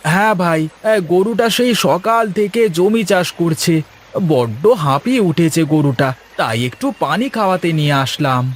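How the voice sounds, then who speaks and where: A man acts out a hardworking farmer from a village moral cartoon. His pitch sits a bit above average, and he talks with the gentle affection of a doting father. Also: lively intonation, moderate pace, a little hiss or hum, lightly acted. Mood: agreeable. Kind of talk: Bengali moral-story cartoon voice acting.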